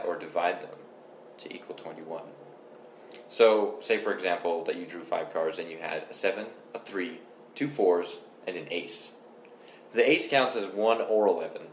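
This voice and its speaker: Deep-voiced young man from Canada, relaxed rambling podcast chat, echoey room. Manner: relaxed, rambling, explains step by step. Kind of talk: casual chat. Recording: echoey room with faint noise.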